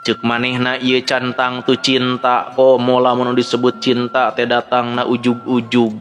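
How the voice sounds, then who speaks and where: A man's voice: mid-range voice, fairly bright tone. A man with a Sundanese accent narrating a dramatic story as a storyteller. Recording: background music under the voice. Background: music.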